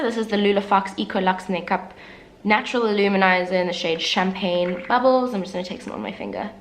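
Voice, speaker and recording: A woman's voice: mid-range voice, a little hiss or hum. A young woman vlogger chatting casually and candidly through a makeup tutorial, sounding a little congested as she gets over the flu. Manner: chatty, candid, self-deprecating asides. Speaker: beauty vlogger.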